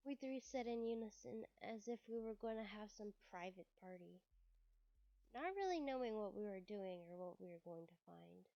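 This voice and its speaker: A woman's voice: medium pitch, expressive, normal speed, gravelly, dark tone. A woman streamer who is under the weather, reading a visual novel aloud with casual asides. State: sick, occasional cough. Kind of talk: live let's-play read-aloud narration with casual asides. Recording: roomy echo, dull lo-fi sound.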